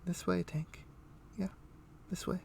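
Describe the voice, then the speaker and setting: A man livestreaming a game, chatting casually and thinking aloud in a rambling, halting way. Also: sits high, level tone, vocal fry, darkish tone, a little hiss or hum. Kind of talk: casual chat while playing an online game. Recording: music playing behind. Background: music.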